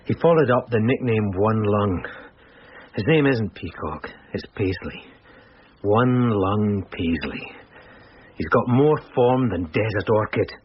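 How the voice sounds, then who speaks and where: An actor playing a hungover, apologetic police detective sergeant in a radio drama, halting and deferential. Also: male voice, on the low side, expressive, slightly rough, exaggerated voice. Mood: earnest, muddled. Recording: dull lo-fi radio audio, faint noise.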